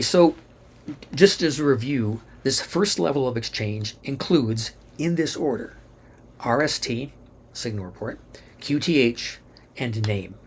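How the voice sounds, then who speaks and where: A man giving a methodical instructional explanation, spelling out abbreviations letter by letter in a slightly rough voice. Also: mid-range voice, slight background noise.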